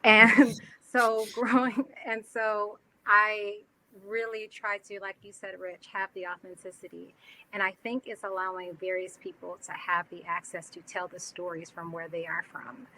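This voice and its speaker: A woman filmmaker on a video-call panel, speaking thoughtfully with halting restarts. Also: mid-range voice, flat delivery, sharp tone, low-quality recording. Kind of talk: panel discussion.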